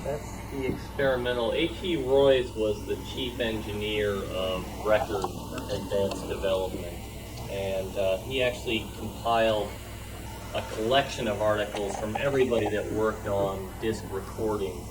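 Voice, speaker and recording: A man's voice: on the low side, steady tone, moderate pace. A man giving informal, knowledgeable commentary as a member at a club meeting. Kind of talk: informal expert commentary from the floor. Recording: noisy meeting room.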